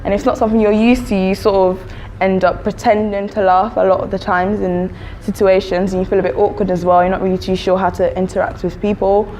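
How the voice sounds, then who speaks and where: A woman's voice: mid-range voice, flat delivery, quick pace, warm tone, slight background noise. A woman in her twenties from London answering interview questions thoughtfully, hedging with fillers. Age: 20s. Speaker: student interviewee.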